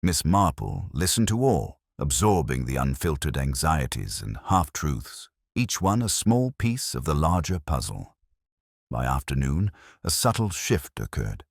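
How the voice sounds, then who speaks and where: A man narrating a scripted mystery story as an audiobook, in a measured, formal storytelling manner. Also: rumbling low, very animated, creaky, no room sound, clean studio sound.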